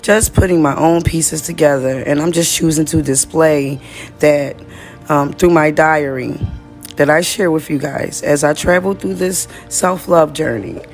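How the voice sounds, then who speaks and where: A woman from the United States with a raspy, slightly hoarse voice, talking straight to her viewers in a casual diary-style monologue. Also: low-pitched, fairly even intonation, a little slow, darkish tone, soft background music. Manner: chatty, direct, addresses viewers as y'all. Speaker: vlogger.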